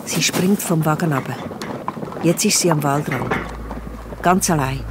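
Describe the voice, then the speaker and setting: A woman's voice: low-pitched, unhurried, background noise. A woman with a Swiss accent narrating a children's audio drama from a script. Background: music.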